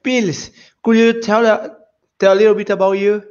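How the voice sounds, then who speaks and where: A man's voice: high-pitched, flat delivery, moderate pace, darkish tone, smooth. A man from Brazil hosting a video-call meetup, talking informally with loose phrasing. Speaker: meetup host. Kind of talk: casual hosting chat. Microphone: headset on video call. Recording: dull lo-fi call audio.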